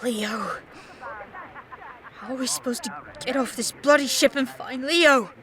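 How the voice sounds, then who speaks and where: A woman's voice: high voice, a little slow, crowd noise. A woman voicing a cautious, anxious, scolding young boy in an audio drama. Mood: anxious, tense.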